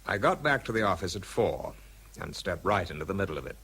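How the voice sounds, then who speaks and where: An actor playing a dry, sober English scientist who tells his wartime story in a measured, understated way. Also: sits low, big pitch swings, fairly bright tone, slightly rough, exaggerated voice. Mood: sober narration. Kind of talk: radio drama narration and dialogue.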